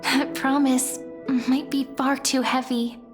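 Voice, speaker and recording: High-voiced, gentle young game heroine. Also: female voice, little pitch movement, slow, over music. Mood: earnest, reassuring. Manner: earnest, softly encouraging, lightly teasing. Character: gentle hopeful young woman, devoted companion. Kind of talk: scripted video game dialogue.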